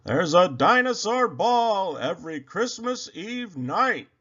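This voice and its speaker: A man voicing a jolly, booming Santa dinosaur in a children's storybook, calling out in a loud, drawn-out festive way. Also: big pitch swings, husky, lo-fi sound, exaggerated voice.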